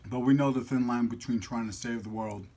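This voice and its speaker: A man's voice: medium pitch, fairly even intonation, fairly quick, dark tone. A man hosting a casual mukbang vlog, chatting in a jokey, slangy, playful way while eating.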